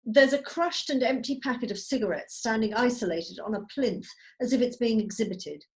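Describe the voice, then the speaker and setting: A woman's voice: a little high, moderate pace, a little mellow, low-quality recording. A woman reading stage directions as the narrator of a script, in a scripted narration style. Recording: video call.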